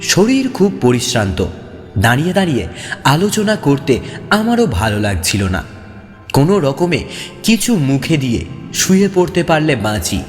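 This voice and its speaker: Male horror audiobook narrator: highly animated, suspenseful delivery, long reverb, music clearly underneath. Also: normal speed, long pauses. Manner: suspenseful, expressive. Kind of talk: dramatic scripted first-person narration.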